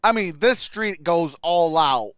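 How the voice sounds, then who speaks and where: A man's voice: fairly high pitch, animated, slow pace, a bit bright, smooth, dry recording, studio quality. A man hosting a sports livestream, calling plays casually and chatting with viewers. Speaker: sports livestream host reacting to a game. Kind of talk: casual live play-by-play and chat with viewers.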